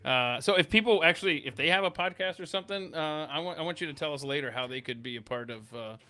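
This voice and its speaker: A man with a slightly high, bright and forceful voice hosts a casual podcast chat. He banters informally and calls people bro, though he is tired. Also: fast talker. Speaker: podcast host. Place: podcast studio.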